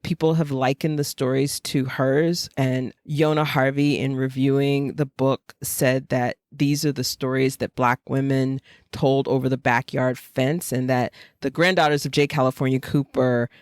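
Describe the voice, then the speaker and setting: A woman's voice: a bass voice, flat delivery, unhurried, darkish tone, no room sound, clean studio sound. A woman from Florida chatting casually and enthusiastically about books as a podcast co-host, with emphatic praise. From Jacksonville, Florida, United States. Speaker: podcast co-host, fiction writer. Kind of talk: casual book chat.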